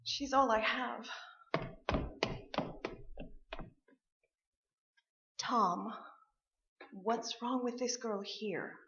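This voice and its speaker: A woman's voice: fairly high pitch, slow, sharp tone, full character voice. An actress playing a firm, reproachful wife and worried mother in a staged radio play, with a pointed way of talking. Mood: concerned. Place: live stage performance in a room. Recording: dull, echoey stage recording.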